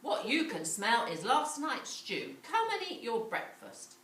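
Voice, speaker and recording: Storybook giantess wife, female reader, bossy warnings, emphatic and very animated, distant roomy mic. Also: a little high, a little slow, heavily acted. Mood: brisk. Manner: exclamatory, teasing, emphatic. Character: huge brusque giant's wife, teasing and warning. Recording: echoey room, distant mic, faint noise.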